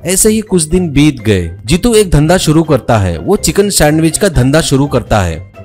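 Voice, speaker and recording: A man's voice: a little high, big pitch swings, normal speed, a little mellow, soft background music. A man narrating a comic children's story as a storyteller.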